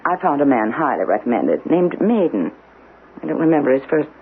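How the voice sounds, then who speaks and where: An actress playing a blunt, scolding but caring niece in an old-fashioned radio drama. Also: female voice, sits low, sing-song, a little fast. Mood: brisk, wry. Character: brisk, sharp-tongued niece, exasperated but caring. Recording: tinny noisy old radio broadcast.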